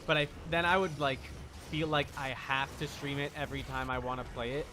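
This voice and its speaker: A man's voice: mid-range voice, level tone, fairly quick, noisy background. A man chatting casually as he streams a game, rambling and repeating his phrases as he reacts to play. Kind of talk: casual live gameplay chat. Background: game audio.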